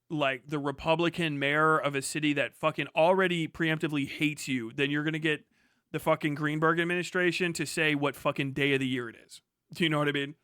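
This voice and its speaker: A man's voice: fairly high pitch, bright, forceful tone, smooth, no echo, studio quality. A man livestreaming casual, opinionated commentary on local news, speaking in a blunt, profane and emphatic manner.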